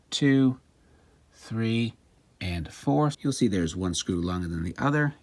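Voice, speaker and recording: A man's voice: deep voice, husky, dry recording. A man narrating a casual hands-on repair demonstration, plain-spoken and understated, thinking aloud as he goes.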